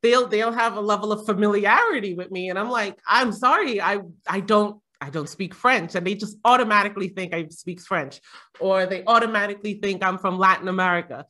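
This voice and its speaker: A woman's voice: medium pitch, fairly quick, bright, forceful tone. A middle-aged woman from Jamaica chatting casually as a panel guest, rambling with frequent fillers and laughs. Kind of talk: casual conversation.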